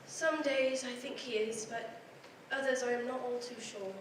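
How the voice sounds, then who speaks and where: A woman's voice: a little high, fairly even intonation, normal speed, natural voice. Fussing mother played by a woman, 1940s radio acting, faint room noise. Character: warm fussing mother Mrs. Cratchit. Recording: echoey stage from far off.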